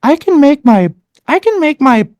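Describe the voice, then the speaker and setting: A woman's voice: sits high, very animated, moderate pace, darkish tone, no room sound. A woman voice coach talking casually and animatedly about resonance, with many "like"s. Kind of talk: casual chat.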